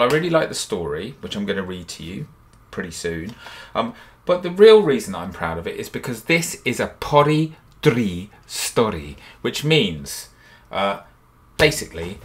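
A man in his fifties, a children's author and illustrator, cheerfully talking young children through a drawing step by step from his home studio. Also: lowish voice. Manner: upbeat and encouraging, talks children through each step.

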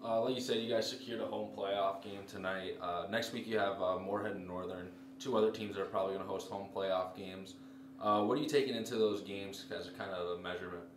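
Male interviewer, plain questions with fillers, lowish voice, echoey, noisy background. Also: steady tone, fairly quick. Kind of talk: post-game interview questions. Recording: roomy, distant, with background noise.

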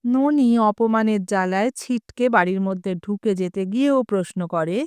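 A woman's voice: mid-range voice, moderate pace, a little mellow, clean tone, dry recording, clean studio sound. A woman telling a humorous short story aloud, giving the quoted lines a little expression.

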